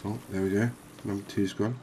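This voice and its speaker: A man giving unhurried, murmured ASMR commentary as he hosts a fishing game series. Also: on the low side, steady tone, noisy background. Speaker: host of a gaming series.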